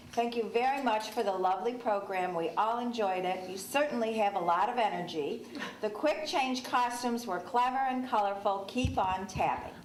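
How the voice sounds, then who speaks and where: A woman who leads a senior tap dance group, telling stories in a chatty way and acting out what others said. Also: fairly high pitch. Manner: chatty storyteller, laughing, acting out quotes. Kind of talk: interview. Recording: echoey room, mic far off.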